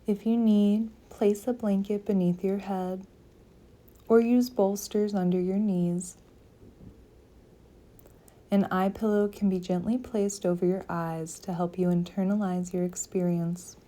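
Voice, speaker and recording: A woman leading a guided meditation, giving calm, gentle, soothing instructions with long pauses between phrases. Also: medium pitch. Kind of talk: guided yoga nidra meditation.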